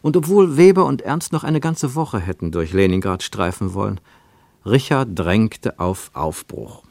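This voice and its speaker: A male narrator with a fairly low voice reads literary prose for a radio drama. He is measured and expressive, setting deliberate pauses between phrases. The recording is very dry, with no room around the voice. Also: gravelly, no room sound.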